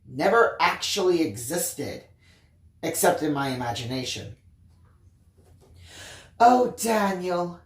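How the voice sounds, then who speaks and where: A woman reading a first-person story aloud in an expressive, dramatic narrating style. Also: sits low, reverberant. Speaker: audiobook reader.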